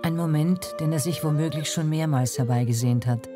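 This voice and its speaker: A man reads scripted documentary narration. He is measured and dramatic, with music clearly playing underneath his voice. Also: on the high side.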